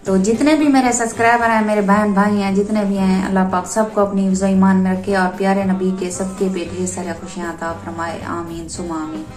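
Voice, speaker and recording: A hoarse woman chats to her viewers at home. Her voice is rough from a sore throat, and she talks in an easygoing, friendly way, heaping blessings on her subscribers. She comes from Pakistan, and soft music plays faintly behind her. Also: medium pitch, fairly even intonation, a little fast, hardly any pauses, roomy sound. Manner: chatty, addresses viewers warmly with blessings. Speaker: vlogger and mother. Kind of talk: casual home vlog chat. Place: inside a home.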